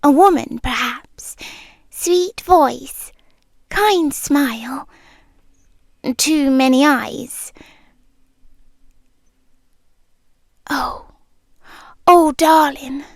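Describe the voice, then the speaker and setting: Slow, whispery British monster girlfriend, acted by a woman. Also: high voice, dry recording, studio quality, heavily acted. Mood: alarmed, hushed. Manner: breathy half-whispered, intimate, slow and deliberate. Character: possessive centuries-old female spider creature, doting yet menacing girlfriend. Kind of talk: ASMR roleplay. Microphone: close dry microphone.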